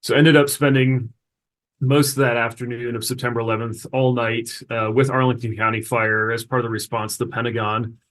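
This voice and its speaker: Steady-voiced man telling his story. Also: medium pitch, a little fast. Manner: conversational, steady, occasional fillers. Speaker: podcast guest, paramedic. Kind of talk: casual interview storytelling.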